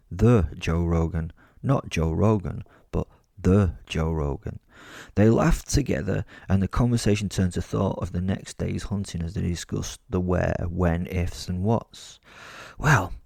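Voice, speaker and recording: A man voices a cheeky, bored male werewolf narrating a dark comedy audio drama. The voice is low and mellow, recorded close and dry. The delivery is wry and conversational, with casual swearing. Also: gravelly, no echo. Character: bored, cheeky, foul-mouthed male werewolf recounting his hunt.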